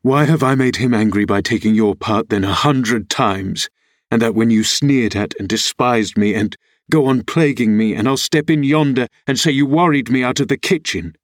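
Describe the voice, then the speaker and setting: A surly, proud young rustic from a classic storybook, played by a male narrator. He is gruff and growling, with a sullen temper. The sound is close and dry. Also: mid-range voice, moderate pace, no room sound, lightly acted. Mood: furious.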